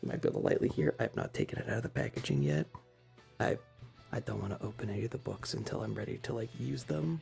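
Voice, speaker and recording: An ASMR stream host chatting softly and haltingly to viewers, sometimes in a whisper, close to the microphone. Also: mid-range voice, vocal fry, faint music behind. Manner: soft-spoken, halting, talking to chat. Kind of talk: casual ASMR stream chat, partly whispered.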